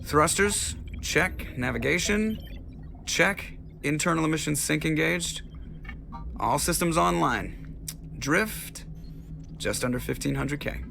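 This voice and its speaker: An actor playing a cocky young starship pilot in a video game, wry, flippant and sardonic. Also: male voice, on the high side, expressive, takes it slowly, vocal fry, pushed and bright, a little hiss or hum, exaggerated voice. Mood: businesslike.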